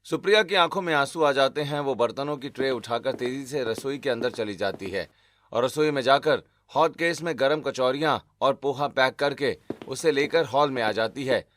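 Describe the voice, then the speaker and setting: A man giving scripted narration for an animated family story as its storyteller. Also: mid-range voice, normal speed, slight background noise.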